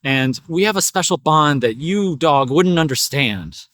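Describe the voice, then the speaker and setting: A man's voice: fairly high pitch, expressive, a little slow, fairly bright tone, no room sound. A haughty female cat from a storybook acted by a man talks with smug understatement. She is condescending and sure of herself, and the sound is dry and close. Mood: smug, contented. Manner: condescending, understated, self-assured.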